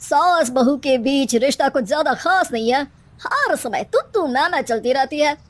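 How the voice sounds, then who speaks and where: A knowing holy man in a cartoon tale, acted by a woman gives out advice with calm authority. He pronounces each remedy in a measured way, in a high register. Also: no room sound. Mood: pronouncing.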